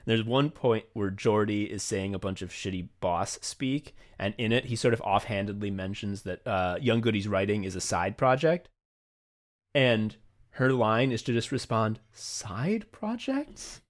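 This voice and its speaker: A man's voice: fairly low pitch, a little slow. A man co-hosting a casual behind-the-scenes chat, swearing freely and running himself down as he talks about directing. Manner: profane, self-deprecating. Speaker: podcast co-host and audio play director.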